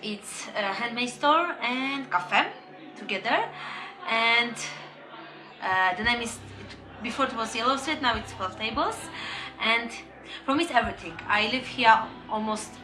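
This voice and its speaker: A woman's voice: a little high, roomy sound, poor audio. A woman who grew up in Poland and owns a cafe, answering an interview hesitantly with many fillers. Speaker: cafe and shop owner.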